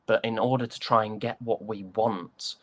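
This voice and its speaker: A man from Yorkshire with a softened British accent, chatting reflectively and hesitantly as an interview guest. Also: on the low side, level tone, moderate pace. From Yorkshire, England. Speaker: interview guest, stage and voice actor. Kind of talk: casual interview chat.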